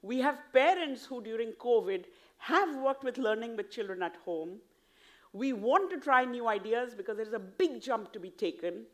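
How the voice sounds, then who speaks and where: A woman's voice: on the high side, expressive, moderate pace. A woman from India with an Indian English accent, giving an earnest, persuasive talk at a conference, explaining her points step by step. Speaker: conference speaker. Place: conference hall.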